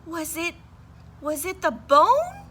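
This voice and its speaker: Eager young male storybook dog, high and lively, read by a woman. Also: slow pace, slight background noise, full character voice. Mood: wondering. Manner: high, excited, calling out questions.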